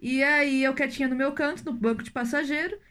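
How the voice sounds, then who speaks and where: A Brazilian woman with a Brazilian accent, telling a lively travel anecdote in casual podcast chat and acting out the dialogue. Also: high-pitched, a little fast. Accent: Brazilian Portuguese. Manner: lively animated storyteller, acts out dialogue.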